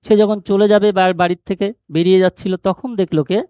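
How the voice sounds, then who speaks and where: A man's voice: high voice, steady tone, fast, dark tone, smooth, no room sound, muffled recording. A man explaining a story as a teacher, posing questions and answering them himself. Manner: didactic, asks and answers own rhetorical questions. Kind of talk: explanatory lesson retelling a short story.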